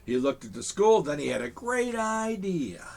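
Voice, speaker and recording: A man reading a children's picture book aloud, with chuckling, animated asides to young listeners. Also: fairly high pitch, normal speed, gravelly. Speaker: storyteller in a Santa persona.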